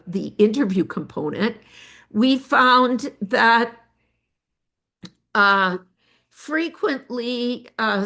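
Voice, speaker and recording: An older woman researcher giving an academic presentation of study findings, measured and pausing often with fillers. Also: mid-range voice, vocal fry, fairly bright tone. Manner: measured, with frequent filler pauses.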